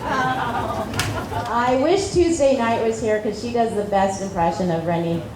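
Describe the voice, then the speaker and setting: A middle-aged actress recounting set stories on a convention panel, eager and animated. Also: female voice, a little high, a little slow, some chatter behind. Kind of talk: anecdotal panel talk. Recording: echoey hall with noisy house audio.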